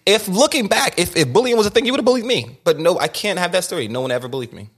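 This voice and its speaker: A young man hosts a candid sit-down conversation, speaking very quickly. He pushes his points hard, stumbling into restarts and doubled words as his thoughts race ahead. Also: high-pitched, lively intonation, fairly bright tone, husky. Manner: rapid, emphatic, with many restarts and repeated words. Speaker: podcast host.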